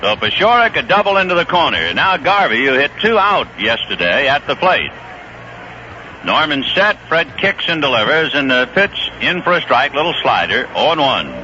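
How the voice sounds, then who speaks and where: A man doing play-by-play baseball commentary on a vintage 1970s radio broadcast, brisk and rising on big plays. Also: a little high, sing-song, bright, forceful tone, dull audio, slight background noise. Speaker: play-by-play announcer. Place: ballpark broadcast booth.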